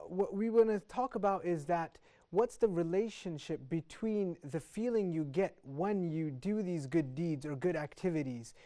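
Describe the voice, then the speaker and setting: A man's voice: a little high, warm tone. A man hosting a studio panel discussion, welcoming guests and moving the talk along. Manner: brisk, welcoming, fillers like "uh". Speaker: talk show host.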